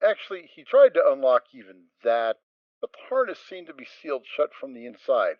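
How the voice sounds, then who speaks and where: A man narrating a dramatic reading of a story, steady and expressive. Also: medium pitch, fairly quick, no room sound, dull audio. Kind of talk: dramatic reading of fan fiction.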